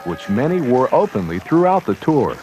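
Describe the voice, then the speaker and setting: Male newsreel narrator, scripted documentary read, animated intonation, music underneath. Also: mid-range voice, moderate pace, poor audio. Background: background music.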